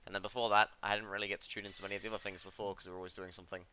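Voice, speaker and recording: A man's voice: deep voice, level tone, rapid-fire, sharp tone, a little hiss or hum. A man running a live card game stream, chatting casually and laughing easily as he commentates. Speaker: stream commentator and tournament organiser.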